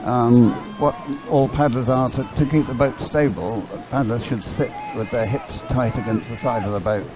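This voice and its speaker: A man giving conversational live sports commentary in a hesitant, halting way, often repeating his words. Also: medium pitch, steady tone, over music. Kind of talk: live dragon boat race commentary, conversational. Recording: live sports coverage, crowd in background.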